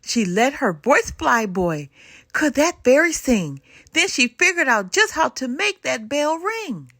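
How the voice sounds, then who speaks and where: An older woman reading a children's storybook aloud in an expressive, sing-song way. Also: high-pitched, dry recording. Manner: animated, unhurried sing-song rhyming delivery. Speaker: storybook reader.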